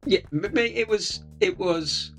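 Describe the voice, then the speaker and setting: A man with a soothing voice, talking in a relaxed, friendly way as a podcast guest. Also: sits high, measured pace, soft background music. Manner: relaxed, friendly, gently humorous. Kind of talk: casual podcast chat.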